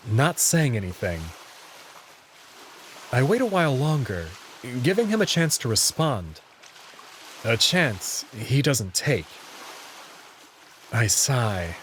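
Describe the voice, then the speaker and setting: A man's voice: medium pitch, big pitch swings, slow, long pauses, a bit bright, slight background noise. A man giving a dramatic first-person narration of a visual novel story. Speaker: narrator and reader.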